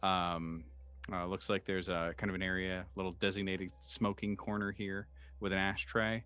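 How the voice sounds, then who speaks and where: Man, very low pitch, game master, improvised horror narration, hesitant phrasing, music in background. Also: little pitch movement, normal speed, pushed and bright, smooth, highs cut off. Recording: music behind the voice.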